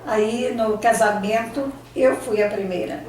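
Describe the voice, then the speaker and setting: An older Brazilian woman, a native Italian speaker, recalls her past at a slow, unhurried pace, halting and restarting as she searches for words. She sounds far from the mic in a strongly echoing room, with faint ambient sound behind her. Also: a little high, fairly even intonation, darkish tone. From Brazil, São Paulo state. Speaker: interviewee. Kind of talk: oral history reminiscing.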